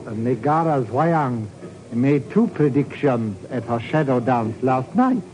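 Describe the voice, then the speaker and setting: An actor playing a courteous, grave Indonesian government geologist who speaks formally and ominously in a 1950s radio drama. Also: male voice, mid-range voice, animated, slow pace, a little mellow. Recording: noisy 1950s radio recording.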